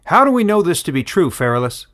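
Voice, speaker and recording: Bold male villager put on by a narrator, fantasy audiobook. Suspicious, shouting challenges, voice pitched up. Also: big pitch swings, fast talker.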